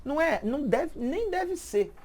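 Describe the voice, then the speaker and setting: A woman's voice: high voice, animated, measured pace, a little mellow. A woman talking casually on a live stream and explaining a point like a language teacher, repeating herself and asking if the listener follows.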